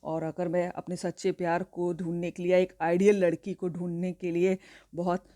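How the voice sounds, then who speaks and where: Mellow-voiced Indian woman teacher. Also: on the low side, little pitch movement, normal speed. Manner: explains line by line, addresses students directly. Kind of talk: classroom explanation of a play.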